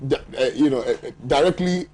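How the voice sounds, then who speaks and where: A man's voice: on the high side, quick pace, a little mellow. A man with a Ghanaian accent hosting a morning TV show, reading viewer messages aloud and bantering with his panel with frequent laughter. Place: TV studio.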